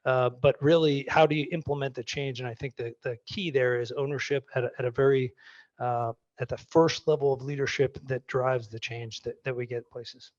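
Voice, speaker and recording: A man's voice: mid-range voice, fairly even intonation, normal speed, no room sound, lo-fi sound. A man, answering audience questions in a conversational way full of restarts. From Maine, US. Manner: conversational, many restarts and fillers. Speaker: guest speaker.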